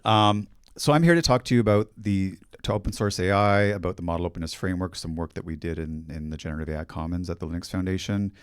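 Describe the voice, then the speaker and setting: A middle-aged man with a Canadian accent giving a technical conference talk in a fairly monotone, steady voice, speaking into a handheld mic on a hall stage. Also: deep voice, fast talker, hardly any pauses, smooth, clean studio sound. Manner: monotone, steady explanatory delivery. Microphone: handheld microphone.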